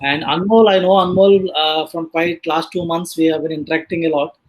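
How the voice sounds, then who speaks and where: The slightly high-voiced man opening a webinar, feeling his way through guest introductions with frequent ums and pauses. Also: steady tone, low-quality recording. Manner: hesitant, halting delivery with many filler sounds. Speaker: host of a live online panel session. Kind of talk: casual live introduction of guests. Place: online live video session.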